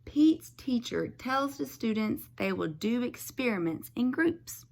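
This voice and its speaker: A woman teacher reading a picture book aloud to young children, expressively, pausing to explain things simply. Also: on the high side, very animated, vocal fry, darkish tone.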